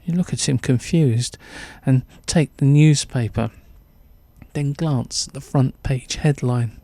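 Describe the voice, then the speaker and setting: A male narrator reads short fiction in a theatrical, suspenseful way. His pitch is middling for a man. The recording is dry and close, with very little room sound. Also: slow, dark tone, dry recording. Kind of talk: dramatic second-person short story narration.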